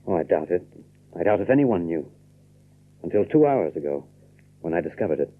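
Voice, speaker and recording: A grave, earnest young gentleman of old radio drama. His low voice moves widely between hushed tenderness and dramatic weight, through a narrow, tinny vintage recording. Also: very animated, brisk. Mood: grave, revealing. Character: earnest young gentleman revealing a long-hidden family secret, tender.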